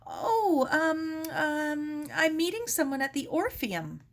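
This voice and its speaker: A nervous, polite young girl from a children's storybook, acted out by a woman reader. Her voice sits high and moves in lively swings. She sounds hesitant, as if covering up a fib. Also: full character voice. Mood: flustered. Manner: hesitant, halting. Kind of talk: children's storybook read aloud.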